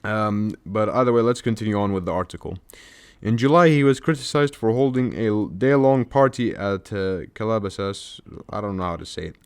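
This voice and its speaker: A man's voice: on the low side, fairly quick, smooth. A man giving casual, mocking commentary while reading a news article, rambling with many fillers and restarts. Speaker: commentary YouTuber.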